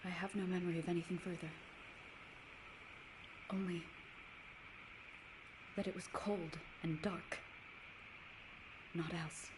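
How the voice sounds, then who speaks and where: A woman's voice: lowish voice, fairly even intonation, slow pace, pauses often, noisy background. A woman playing a proud, righteous ancient knight in a video game, speaking solemnly in archaic, formal phrases. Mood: haunted, somber. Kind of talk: scripted video game dialogue.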